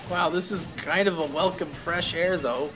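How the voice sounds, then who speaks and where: A man's voice: on the high side, moderate pace, over music, low-quality recording. A man reading fan fiction aloud on a stream with wry, casual asides, often interrupting himself, some noise in the background. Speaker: streamer, host.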